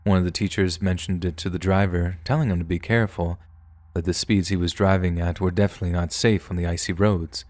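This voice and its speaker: A man reading true horror stories aloud in a steady, measured first-person narration. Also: deep voice, no room sound.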